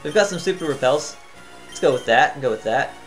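Tired guy chatting through his game, voice a bit high, kinda worn out, faint game music under it. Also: expressive, fairly quick. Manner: chatty, thinks out loud, hums to himself. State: tired, voice near cracking. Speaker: gaming channel host. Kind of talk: casual gameplay commentary.

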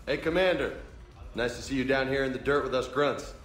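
A man's voice: mid-range voice, fairly quick, fairly bright tone, soft background music, natural voice. A man playing a friendly, swaggering young marine lieutenant in a video game, teasing and informal. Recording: roomy sound.

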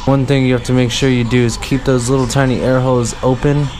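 Brief: male vlogger, twenties, slangy and laid-back, mid-pitched voice, noisy handheld camera audio. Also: flat delivery, fast talker. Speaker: vlogger for a vape brand team. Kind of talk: casual vlog chat. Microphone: action camera at arm's length. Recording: noisy action-camera audio with ambient hum.

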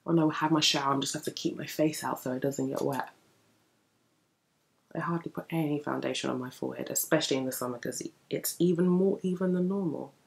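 A young woman with a deep, gravelly morning voice, chatting casually and explaining while she does her makeup in front of a bookshelf. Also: fairly quick. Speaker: beauty vlogger. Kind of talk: casual makeup tutorial chat. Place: indoor room in front of a bookshelf. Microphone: camera at desk distance.

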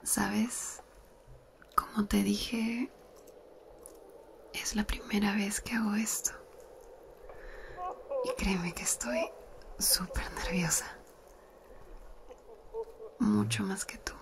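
A woman's voice: medium pitch, fairly even intonation, gravelly, background noise. A woman whispering as a flirty cousin. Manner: whispering close and slowly. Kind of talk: ASMR whispered roleplay. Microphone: close binaural mic.

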